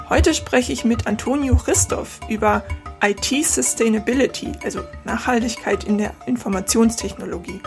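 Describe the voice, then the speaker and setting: German lady hosting a podcast, bit high and gravelly, soft music behind. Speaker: podcast host, innovation coach. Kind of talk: scripted podcast intro and casual interview.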